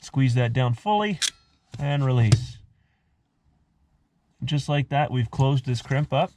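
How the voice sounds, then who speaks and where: A man's voice: medium pitch, lively intonation, slow, darkish tone, a little hiss or hum. A man narrating a casual hands-on DIY tutorial in a methodical, explanatory way. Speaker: host of a DIY car repair tutorial.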